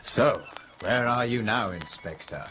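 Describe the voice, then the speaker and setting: An actor playing a self-assured, dismissive gentleman in a radio drama, with a cool, smooth-talking manner. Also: lowish voice, lively intonation, a little slow. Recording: music under the voice.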